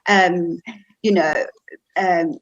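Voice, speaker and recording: A South African woman hosting a casual interview over a video call, speaking in halting, hesitant phrases. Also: lowish voice, steady tone. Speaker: seminar host. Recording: dull, lo-fi video call audio.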